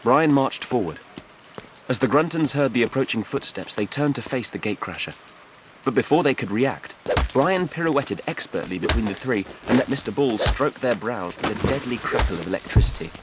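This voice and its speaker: A man's voice: lowish voice, slight background noise. A man reading fiction as a dramatic audiobook narrator, from a script.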